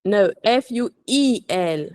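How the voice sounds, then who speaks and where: A woman's voice: mid-range voice, animated, takes it slowly, warm tone, dry recording. Female language coach, mid-range voice, instructive and precise, enunciating for a learner, dry recording. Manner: instructive, correcting, enunciates words for repetition. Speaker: English teacher coaching aviation English. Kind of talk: one-to-one language lesson.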